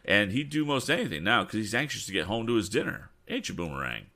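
A man's voice: lowish voice, big pitch swings, fast, gravelly, sharp tone, voice pushed up, full character voice. A man voicing a folksy, apologetic, stubborn mule driver from a storybook, with a hesitant, rambling dialect. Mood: proud, eager.

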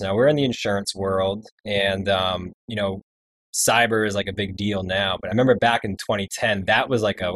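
Co-host guy telling a travel story, lowish voice, dry close audio. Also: dry recording. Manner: chatty, storytelling. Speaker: guest co-host. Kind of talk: casual podcast conversation.

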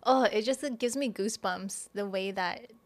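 A woman hosting a relaxed podcast conversation. She is warmly enthusiastic and keeps affirming her guest, and her voice sits at a middle pitch. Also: normal speed. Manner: enthusiastic and affirming, many mm-hmms. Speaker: podcast host. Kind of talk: casual interview chat.

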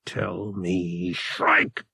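Desperate young hero, voiced by a male narrator: wary, bitter, curt, raspy, dramatic swings in tone, audiobook style. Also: sits low, wildly swooping pitch, very slow, sharp tone, no echo, heavily acted. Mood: desperate, demanding. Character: desperate, defiant young man, worn out and wary.

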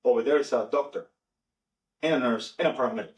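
A man from Venezuela with a Venezuelan accent, a native Spanish speaker, talking casually and informally in an animated way. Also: mid-range voice, quick pace. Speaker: podcast participant. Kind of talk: casual conversation. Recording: echoey room, distant mic.

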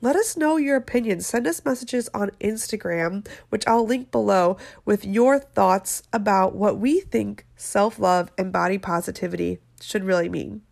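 A woman's voice: medium pitch, moderate pace, gravelly, few pauses, darkish tone. A woman hosting a nutrition podcast, talking casually with a nasal, congested voice from a head cold. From Florida. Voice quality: nasal, stuffed-up. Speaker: podcast host, dietitian. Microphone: video call.

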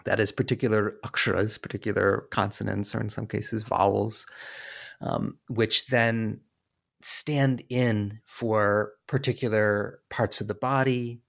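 A man being interviewed as an author and scholar, explaining ideas in a measured way with frequent ums and uhs. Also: fairly low pitch, level tone, gravelly, fairly bright tone.

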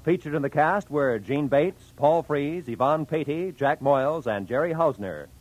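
Higher-pitched, animated American male radio announcer. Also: normal speed. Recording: vintage radio broadcast, music underneath.

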